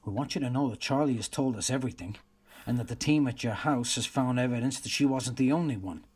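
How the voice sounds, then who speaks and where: A man playing a steady police detective in a radio play, firm and questioning. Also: mid-range voice, fast talker, slightly rough, natural voice. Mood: probing.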